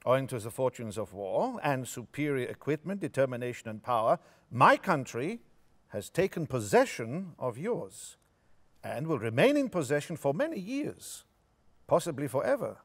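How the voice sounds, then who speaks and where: An actor playing a courteous, smug German occupying officer with a formal, measured, condescending manner in a staged play reading. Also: male voice, medium pitch, big pitch swings. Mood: smug, dismissive. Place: theatre stage before an audience.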